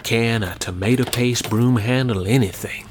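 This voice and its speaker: An actor playing a folksy, gritty older trucker, drawling wryly in an audio drama. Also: male voice, medium pitch, normal speed, background noise, close to an everyday voice. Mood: tense, defiant. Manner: folksy, drawling storyteller, wry. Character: gritty, folksy older male trucker protecting two young women.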